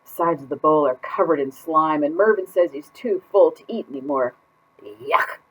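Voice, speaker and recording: A woman's voice: low-pitched, moderate pace, slightly rough. A woman reading a children's picture book aloud as a put-upon goldfish keeping a diary, with exaggerated, exasperated emphasis. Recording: dull lo-fi sound.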